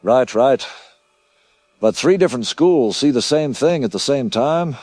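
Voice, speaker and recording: A man's voice: medium pitch, expressive, measured pace, a little mellow, dry recording, clean studio sound. A man playing a suspicious, insistent caller on a radio phone-in. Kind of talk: radio drama phone-in acting.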